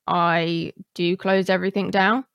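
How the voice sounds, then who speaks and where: Lady podcast host, kinda low n creaky, just chatting casually. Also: level tone, measured pace, a bit bright, no echo, dull audio. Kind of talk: casual interview chat.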